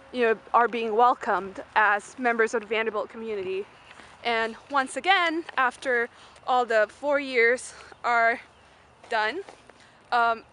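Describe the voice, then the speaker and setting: A young woman with a fairly high voice talks as a student vlogger, casual and unscripted. She rambles informally, leaning on fillers as she gives a walking tour. The recording is handheld outdoor audio with faint noise behind her. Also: pushed and bright. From Malaysia. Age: young adult. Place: mostly outdoors on a university campus.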